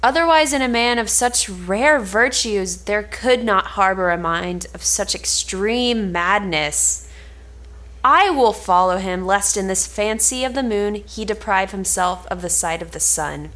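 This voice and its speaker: A woman's voice: medium pitch, a bit bright, lightly acted. A woman playing a frank, admonishing confidant, reading formal archaic lines in an amateur cold read of an Elizabethan play. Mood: concerned. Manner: measured, formal archaic phrasing.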